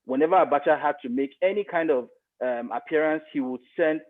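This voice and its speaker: A man's voice: on the high side, a little fast, phone-quality sound. A middle-aged Nigerian man giving emphatic political commentary as an interview guest, repeating phrases for stress. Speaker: interview guest, media expert.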